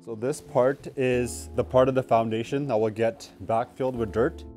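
A man's voice: medium pitch, steady tone, moderate pace, a little mellow. A man in his thirties explains building work outdoors in a plain, matter-of-fact manner. He is agreeable and casual, with a mid-range voice. Light music sits behind him. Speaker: builder, concrete forming expert. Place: outdoor residential construction site under a raised house. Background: faint music.

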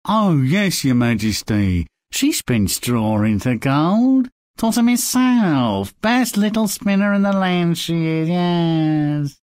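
A man's voice: on the high side, big pitch swings, slow, a little mellow, no echo. An actor playing a boastful, fawning peasant father in a fairy-tale video game, eager and obsequious. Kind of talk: theatrical video game acting.

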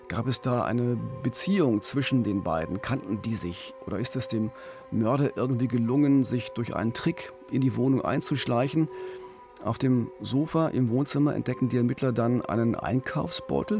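Middle-aged man, slightly creaky voice. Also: medium pitch. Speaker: podcast host. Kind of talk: true-crime podcast storytelling. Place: small radio studio. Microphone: close studio mic on boom arm.

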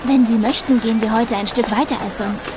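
A woman's voice: a little high, fairly even intonation, brisk, natural voice. An actress playing an anxious, devoted young female carer, earnest and hesitant, in an audio drama. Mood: worried. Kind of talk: German audio drama acting. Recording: noisy ambience behind.

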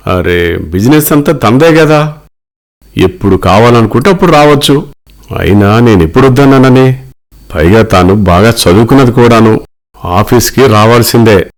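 A man's voice: medium pitch, animated, moderate pace, pauses often, lightly acted. A man voicing a wealthy middle-aged father, earnest and protective, in a cartoon story. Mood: matter-of-fact.

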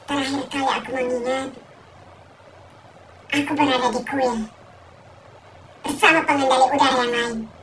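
A woman doing a squeaky bald boy hero. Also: moderate pace, pauses often, a little hiss or hum, poor audio. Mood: earnest. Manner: high squeaky kid voice, lively. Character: young bald boy hero, earnest and jumpy. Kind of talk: comedy parody sketch.

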